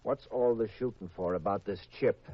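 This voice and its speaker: Man acting a hard-boiled, low-voiced radio detective. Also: normal speed, natural voice. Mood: stern, insistent. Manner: clipped, no-nonsense delivery. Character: hard-boiled married male investigator, tough, terse, stubborn. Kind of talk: 1950s radio crime drama acting with first-person narration. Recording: dull vintage radio recording, music behind.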